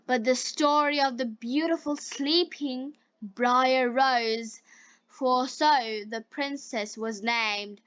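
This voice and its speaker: A woman narrates a fairy tale at an extremely slow pace, pausing between short, deliberate phrases. Her voice is fairly high and clearly bright, as if pitched for learners following along. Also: no room sound, lo-fi sound. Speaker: storyteller for English learners.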